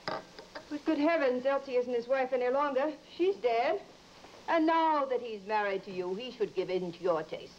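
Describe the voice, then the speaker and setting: A woman's voice: on the high side, animated, moderate pace, a little mellow, natural voice. A woman playing an outspoken, disapproving older mother-in-law in an old radio drama. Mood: disapproving, emphatic. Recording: muffled, hissy vintage recording.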